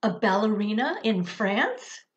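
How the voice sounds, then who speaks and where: Curious storybook kid, voiced by a woman: anxious, questioning, very animated intonation, lo-fi sound. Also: medium pitch, slow pace. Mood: eager wondering. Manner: questioning, wide-eyed, eager. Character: curious, anxious young twin children, boy and girl. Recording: dull, lo-fi sound.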